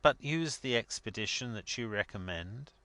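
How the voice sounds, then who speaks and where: A man playing a dignified young secretary in a story reading, speaking formally with measured restraint. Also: lowish voice, lively intonation, bright, forceful tone, no room sound. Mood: proud, controlled.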